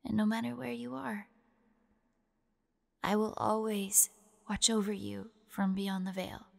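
Audio drama: woman as tender spirit of a protective older sister, soft creaky voice in long ghostly reverb. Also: medium pitch, level tone, unhurried. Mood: loving farewell. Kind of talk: audio roleplay acting.

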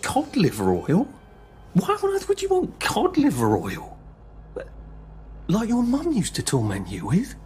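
A hesitant, animated Englishman. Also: high voice, unhurried, long pauses, faint music behind, heavily acted. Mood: sardonic, sheepish. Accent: British. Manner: hesitant, stammering. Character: awkward, evasive, self-mocking Englishman, former reporter. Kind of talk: naturalistic video game acting.

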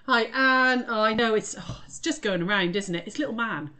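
A woman's voice: fairly high pitch, normal speed, sharp tone. Lady with a cold, all snuffly, bubbly craft live stream chat on a webcam, greeting people by name. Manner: bubbly, greets viewers by name, laughs often. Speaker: craft shop owner hosting a live sewing tutorial. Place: home sewing studio. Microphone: webcam at desk.

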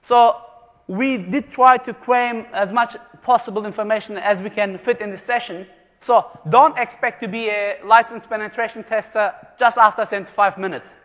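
A man's voice: high-pitched, steady tone, moderate pace, fairly bright tone. A man from Germany giving a jokey conference presentation, quizzing the live audience with questions. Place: large conference room. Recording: long reverb of a large hall.

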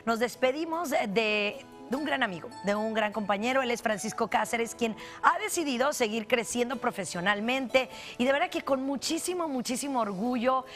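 Female morning-show co-host, higher voice, live studio announcement and chat, faint music bed. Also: animated, normal speed, a bit bright. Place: television studio.

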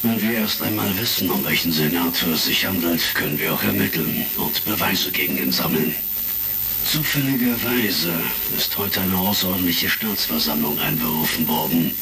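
A man playing an authoritative, deliberate intelligence official in a retro sci-fi audio drama. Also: sits low, gravelly. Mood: pensive, resolute. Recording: crackly vintage vinyl-style recording, distant.

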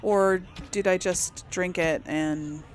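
A woman's voice: fairly low pitch, steady tone, takes it slowly, a little hiss or hum. A woman streaming a role-playing game, thinking aloud in casual, chatty commentary. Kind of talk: casual let's play commentary.

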